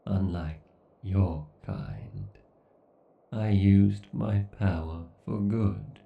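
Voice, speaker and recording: A cruel, grandiose AI overlord, acted by a man, speaks in a low-pitched male voice with a gravelly creak. Every phrase is drawn out extremely slowly with obsessive, gloating menace, as in a horror roleplay. The sound is echoey, muffled and set back from the mic. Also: expressive, recorded from a distance, full character voice. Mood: haughty, menacing. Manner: slow, deliberate, menacing, grandiose. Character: obsessed, sadistic, gloating male AI god villain. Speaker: voice actor. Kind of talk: horror ASMR roleplay monologue.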